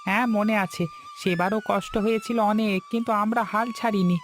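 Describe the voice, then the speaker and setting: A man's voice: high-pitched, steady tone, brisk, close to an everyday voice. Man voicing a worn-out poor fisherman in a cartoon, worried devoted husband, music behind him. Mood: reassuring. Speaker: cartoon voice actor. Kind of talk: dramatic cartoon dialogue in a moral folk tale.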